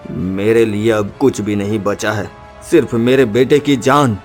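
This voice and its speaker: A man's voice: lowish voice, steady tone, unhurried, faint music behind, close to an everyday voice. A man playing a brave woodcutter father in a dramatic cartoon story, earnest, tender and determined. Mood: protective, tender.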